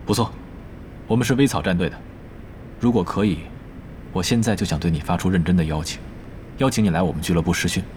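A man acting a serious young esports team captain in an audio drama, speaking in a measured, earnest, formal way. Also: low voice, a little mellow, slight background noise, close to an everyday voice. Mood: polite, earnest.

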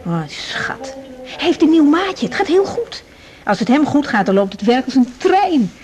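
An actress playing a caring, brisk young woman doctor in a naturalistic 1980s radio drama. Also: high voice, big pitch swings, a crowd in the background. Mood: affectionate, lively. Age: young adult.

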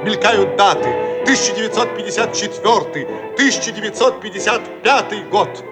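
A man's voice: sits high, moderate pace. A man narrating a radio play in a declamatory, solemn announcer manner. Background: loud music.

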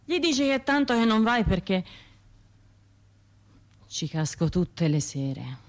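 An actress playing an anxious, self-doubting trauma survivor in a radio drama, talking to herself in broken, halting phrases with a Tuscan accent. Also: female voice, mid-range voice, very animated. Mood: restless, self-scolding. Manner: halting, fragmented, self-interrupting inner monologue.

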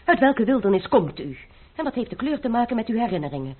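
A woman's voice: a little high, big pitch swings, fast talker, husky. A woman playing a haughty flirtatious mademoiselle. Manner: haughty, teasing. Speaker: mademoiselle de Breze. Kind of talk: radio play acting. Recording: lo-fi old radio recording.

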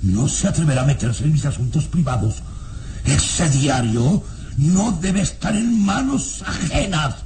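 An actor playing a proud, irascible middle-aged baron in a radio drama, haughty and theatrical. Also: male voice, fairly high pitch, big pitch swings, measured pace, a little mellow, a little hiss or hum. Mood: haughty, indignant. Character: proud, menacing, irascible baron, father of grown daughter.

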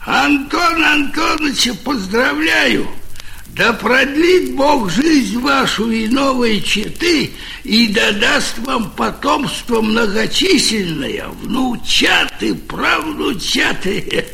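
An unctuous, ceremonious well-wisher in an old radio drama. The voice is extremely high and gravelly, drawn out slowly as he declaims. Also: very animated, pushed and bright, heavily acted. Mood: effusive. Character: effusive, creaky, ceremonious well-wishing guest. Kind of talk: theatrical 1940s radio acting.

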